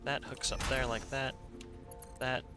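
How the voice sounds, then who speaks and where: A man's voice: mid-range voice, flat delivery, measured pace, music in the background. A man streaming a factory-building game live, thinking aloud with playful asides to himself. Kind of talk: live gameplay commentary.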